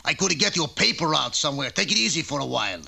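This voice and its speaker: Greedy old woodcarver, heavy rasp, forceful bright edge, gruff, dismissive, cartoon. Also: male voice, fairly high pitch, lively intonation, racing, heavily acted. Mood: weary grumbling. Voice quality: gravelly, raspy. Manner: broken English, brash and gruff. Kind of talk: cartoon voice acting.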